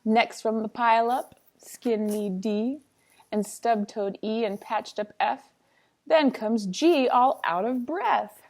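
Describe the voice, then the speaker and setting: A woman's voice: fairly high pitch, slow pace, a little mellow. A woman reading a picture book aloud like a teacher, with a sing-songy, playful, dramatic delivery and chatty asides.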